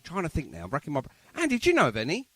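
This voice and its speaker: A man from London with a London accent hosts a podcast in a very animated voice that swoops around in pitch. He talks casually and jokily, rambling a little and throwing in bantering asides. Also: racing, soft background music. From London, England. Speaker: podcast host. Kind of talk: casual jokey interview chat.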